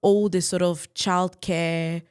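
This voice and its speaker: A woman's voice: fairly low pitch, level tone, slow, a little mellow, clean tone. A woman in her thirties from Italy, co-hosting a casual podcast and earnestly giving practical advice. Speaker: podcast co-host.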